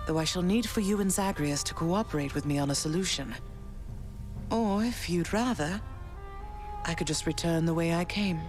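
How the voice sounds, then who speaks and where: Woman acting underworld queen, slightly low voice, unhurried, poised, gently firm, video game drama, music behind. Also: lightly acted. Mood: composed, firm. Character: Persephone, calm, regal, forgiving queen of the underworld.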